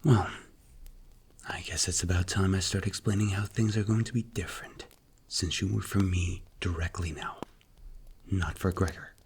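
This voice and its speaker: A man acts out a strict mafia boss in an ASMR roleplay, with a low, commanding voice. He speaks in clipped, deliberate phrases with cool authority and tight control. Faint noise sits behind the voice. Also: fairly even intonation, darkish tone. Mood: firm, commanding.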